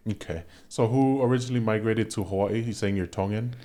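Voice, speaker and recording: A man's voice: lowish voice, fairly quick, warm tone. A man hosting a podcast. He reads a scripted opening, then asks the guest direct questions. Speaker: podcast host. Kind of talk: podcast interview.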